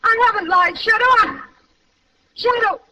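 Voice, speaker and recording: An actress playing a guilty, evasive widow in an old radio mystery, halting and anxious. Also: female voice, very high voice, bright, forceful tone, highs cut off, exaggerated voice. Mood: defensive. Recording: distant, noisy, band-limited vintage radio recording.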